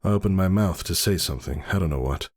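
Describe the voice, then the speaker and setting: A man's voice: low voice, quick pace, heavy background noise, natural voice. A man playing a brooding, anxious stallion husband, telling his tale in a somber, dramatic audiobook style. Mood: tense recollection. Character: brooding male pony husband, anxious and suspicious.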